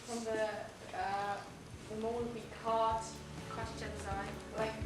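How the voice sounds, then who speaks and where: A woman's voice: fairly high pitch, flat delivery, unhurried. A woman speaking from a distance in a very echoey space. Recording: very echoey, distant, loud music.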